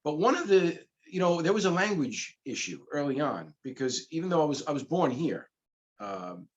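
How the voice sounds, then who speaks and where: A man's voice: on the high side, big pitch swings, normal speed, highs cut off. A middle-aged man from the Bronx whose first language is Spanish, telling stories in a casual interview with laughs as he goes. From the Bronx, New York. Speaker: comic book publisher, guest.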